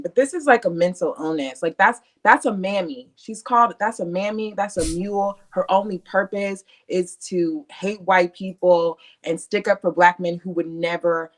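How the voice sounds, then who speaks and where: A woman's voice: on the low side, normal speed, hardly any pauses. A woman guest on a podcast, talking bluntly and emphatically in casual chat.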